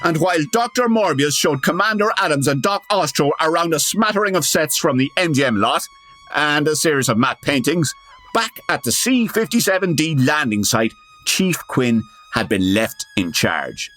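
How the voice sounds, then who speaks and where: A male narrator tells the story in a wry, scripted comic style. His fairly high voice is lively in pitch, with light music behind. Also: moderate pace.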